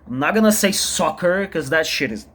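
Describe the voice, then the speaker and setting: A man in his thirties from Brazil, a native Portuguese speaker with a Brazilian accent, talking to camera in a confessional solo vlog, halting and heavy, often repeating himself. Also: on the high side, animated, a bit bright, slightly rough. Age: 30s. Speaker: channel creator. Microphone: camera recording himself.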